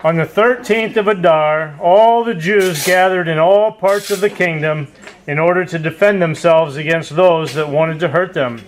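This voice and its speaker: Guy narrating a puppet show story, highish voice, bit of background noise. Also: moderate pace, low-quality recording.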